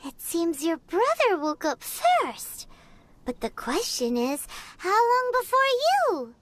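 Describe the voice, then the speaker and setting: A tiny, excitable fairy guide from a video game, with an extremely high, squeaky, childlike voice. She is chatty and curious, exaggerating her words in big swoops of pitch while taking her time over them. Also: slow pace, a little hiss or hum, exaggerated voice. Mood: pondering. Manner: perky, exaggerated, refers to herself in third person. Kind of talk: animated video game character acting. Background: prominent background music.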